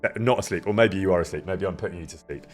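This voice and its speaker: A man casually chatting with his live-stream viewers, greeting them by name and laughing often, with a loud voice that carries. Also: sits low, very fast, faint music behind. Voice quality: loud, carrying voice. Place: indoor room near an event space.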